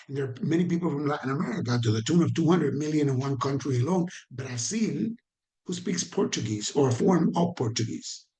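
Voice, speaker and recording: This man speaks with a Caribbean Spanish accent, and he sits in the middle of the usual pitch range for men. He leads a training session over a video call with a loose, conversational delivery. He puts questions to his audience and repeats his points for emphasis. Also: darkish tone. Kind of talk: online training talk.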